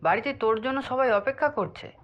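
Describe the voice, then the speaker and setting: A woman's voice: a little high, brisk, close to an everyday voice. A woman voicing a concerned, informal childhood friend on a phone call in a horror cartoon, in a familiar tone. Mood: concerned, casual. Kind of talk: dramatised audio horror story for cartoon.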